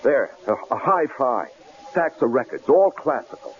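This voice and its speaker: An actor playing a nervous, cautious husband in a 1970s radio drama, hesitant and fretful, with a stammering delivery. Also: male voice, mid-range voice, animated, moderate pace, natural voice. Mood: fretful, insistent. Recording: dull, noisy old radio broadcast recording.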